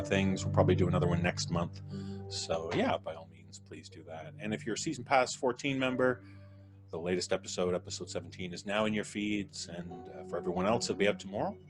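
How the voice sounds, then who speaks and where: A man hosting a live podcast stream, chatting casually and thanking his guests as he wraps up. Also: low voice. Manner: relaxed, chuckling, thanking guests. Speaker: podcast host.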